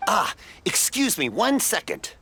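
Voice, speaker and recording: A man's voice: high-pitched, sing-song, a little slow, bright, forceful tone, slight background noise, exaggerated voice. An actor playing a jovial, generous older male festival stallkeeper in a video game, with a hearty, folksy, familiar manner. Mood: friendly, chatty.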